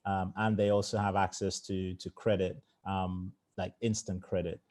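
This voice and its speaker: A man who grew up in England, a startup founder speaking casually in a fireside chat, with many fillers. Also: normal speed. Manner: conversational, lots of fillers, low flat voice.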